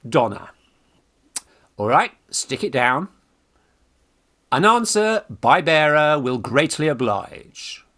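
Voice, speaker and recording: Man as lovestruck young English student schemer for radio farce: bright, forceful, exclamatory, bossy, swooping in pitch. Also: animated, slow, pauses often, heavily acted. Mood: bossy, hurried. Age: young adult. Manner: exclamatory, bossy, jolly old-fashioned slang. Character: brash, scheming young Victorian Oxford undergraduate in love. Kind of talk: theatrical radio farce acting.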